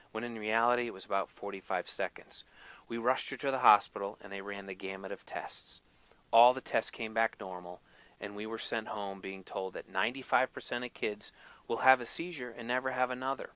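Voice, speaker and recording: A man's voice: lowish voice, pushed and bright, dry recording, low-quality recording. A man reading a scripted first-person story as advert narration.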